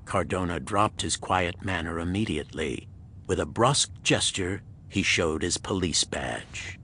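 A man giving scripted narration of a pulp thriller audiobook in a husky voice. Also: deep voice, lively intonation, a little slow, pushed and bright, slight background noise.

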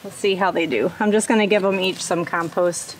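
A woman's voice: lowish voice, steady tone, brisk. A middle-aged woman hosting a homestead vlog, chatting casually while working in an outdoor garden. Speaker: homestead vlogger. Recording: outdoor noise behind.

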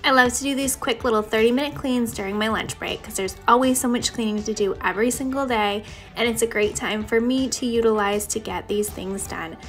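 Female home vlogger, young adult, fairly high voice, casual chatty voice-over over background music. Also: fairly quick. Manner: chatty, addressing viewers directly. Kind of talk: casual voice-over narration to viewers.

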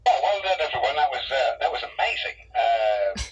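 A man chatting casually and haltingly over a marine radio. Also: mid-range voice, sing-song, breathy edge, soft background music. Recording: muffled distant marine radio transmission.

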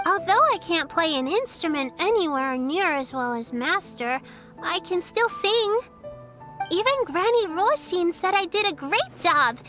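A woman's voice: very high voice, sing-song, measured pace, full character voice. An actress voicing a cheerful, eager little girl who chatters earnestly in game dialogue. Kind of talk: video game character dialogue. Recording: game audio with soft music behind.

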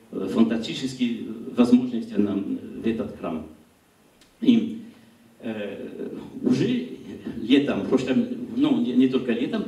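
Middle-aged French-accented man lecturing in a gallery hall, halting and searching for words, mellow tone, picked up from a distance. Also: mid-range voice. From France. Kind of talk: public lecture. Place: small gallery hall, indoors. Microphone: handheld mic. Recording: roomy hall recorded from afar.

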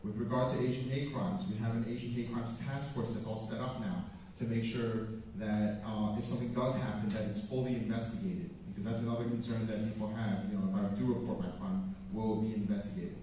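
A man's voice: lowish voice, steady tone, a little fast, warm tone, far from the mic, a little hiss or hum. A man in his thirties, a police officer, giving an earnest, plain-spoken speech with a handheld mic on a community hall stage. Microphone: handheld microphone. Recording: echoey hall, picked up from afar.

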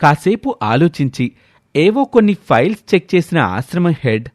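A man's voice: on the high side, very animated, normal speed, no room sound. Dry-sounding male storyteller. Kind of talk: scripted story narration.

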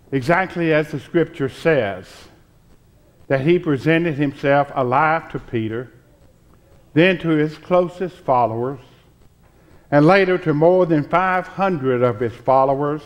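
A pastor in his sixties reading scripture from a church pulpit in a deliberate, solemn way with pauses. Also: a little high. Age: 60s.

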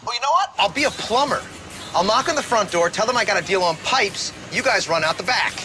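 Animated, brash male schemer. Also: high voice, fairly quick, loud music behind the voice. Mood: stubborn confidence. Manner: eager, insistent. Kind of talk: sitcom acting.

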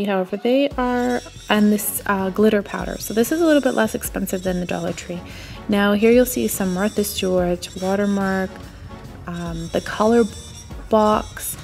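A woman's voice: mid-range voice, a little mellow. A woman giving a casual, chatty and enthusiastic running narration as a shopping vlogger. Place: indoors, in a store aisle.

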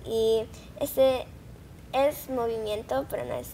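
A young woman answers in short, shy replies on a studio set, her voice a bit high. A faint ambient hiss stays low behind her. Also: fairly even intonation, takes it slowly, dark tone. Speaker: guest. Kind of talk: interview answers.

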